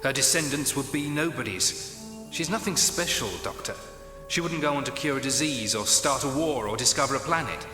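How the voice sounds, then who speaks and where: An actor playing a grave, disdainful Time Lord in an audio drama, speaking formally and coldly. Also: male voice, medium pitch, normal speed, close to an everyday voice. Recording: echoey hall reverb, loud music. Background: dramatic music.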